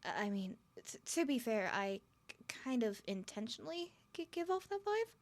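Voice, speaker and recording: A woman's voice: fairly high pitch, sing-song, normal speed. A woman playing a nervous, smitten young woman on a first date in an ASMR roleplay, stuttering and rambling with flustered giggles.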